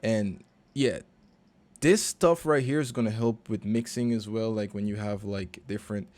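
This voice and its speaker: A man, a recording and mixing engineer, casually walking through a session in a relaxed, slangy, conversational way. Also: fairly low pitch, normal speed, no echo. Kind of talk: casual screen-share walkthrough.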